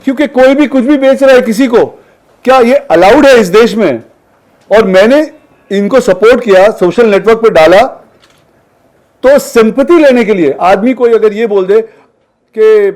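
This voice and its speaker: A man's voice: high voice, a little mellow. A man speaking at a press conference, emphatic and accusatory, often putting rhetorical questions to his audience. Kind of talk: press conference speech, addressing viewers.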